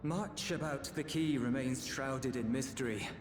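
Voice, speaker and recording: An actor playing a solemn male sage in a video game, speaking in a grave, measured, formal manner. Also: medium pitch, close to an everyday voice. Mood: grave, cautioning. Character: solemn, sage male keeper of old knowledge. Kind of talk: dramatic game cutscene acting. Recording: echoey hall reverb, game music.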